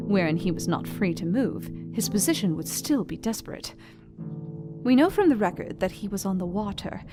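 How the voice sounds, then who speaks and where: An earnest, brave young Victorian wife played by an actress reads from her journal in a Gothic audio drama. She speaks at a medium pitch with careful, methodical diction, over clearly audible instrumental music. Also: close to an everyday voice. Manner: earnest, precise, deliberate diction. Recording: music behind the voice.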